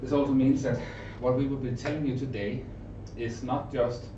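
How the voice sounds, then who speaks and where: A middle-aged man from Denmark with a Danish accent, giving an informal conference presentation in short, halting, explanatory phrases. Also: medium pitch, flat delivery. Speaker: trainer and consultant giving a presentation. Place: indoor event room with an audience. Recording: roomy, distant mic, narrow muffled sound, noisy.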